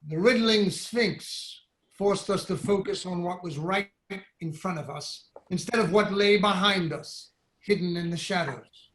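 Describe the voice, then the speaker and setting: An actor playing a grave, urgent royal envoy in a dramatic reading of Greek tragedy, measured and insistent, recorded over a video call. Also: male voice, sits high, a bit bright. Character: grave, urgent Theban royal envoy bringing an oracle's command. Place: indoor room on a video call. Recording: roomy, echoing video-call audio.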